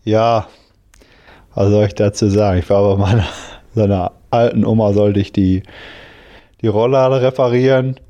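A man's voice: on the low side, fairly even intonation, normal speed, long pauses, dark tone, muffled recording. A man in his twenties, a master electrician, telling laid-back stories and chuckling in an interview. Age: 20s. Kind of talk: interview answers.

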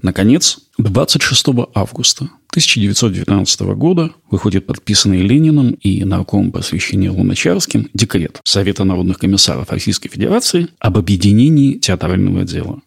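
Gravelly, husky low-voiced man narrating. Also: animated. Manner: measured, explanatory delivery. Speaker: narrator of a history podcast. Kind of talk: scripted narration.

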